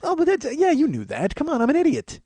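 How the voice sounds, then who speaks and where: A man hosting a podcast, riffing in a profane, excitable comedic monologue into a studio microphone. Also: very high-pitched, fast, dry recording. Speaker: podcast host.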